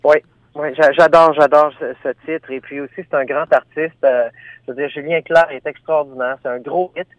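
A man's voice: on the high side, steady tone, moderate pace, no room sound. A man with a Franco-Ontarian French accent, a singer talking about his album over the phone, hesitant and full of fillers. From Ottawa, Ontario. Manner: hesitant, many fillers and restarts. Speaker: singer guest. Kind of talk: phone interview. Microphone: telephone. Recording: tinny phone line.

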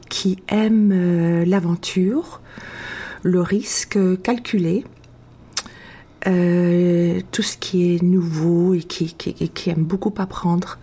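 A woman from France speaking as an interview guest, reflective and halting, with long thoughtful hesitations. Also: lowish voice, mellow, slightly rough. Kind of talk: casual, reflective interview talk.

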